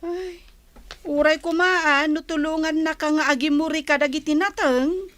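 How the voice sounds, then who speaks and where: A woman's voice: high voice, steady tone, moderate pace, fairly bright tone. A woman playing a nagging, critical married older sister in an Ilocano radio drama, full of scolding questions.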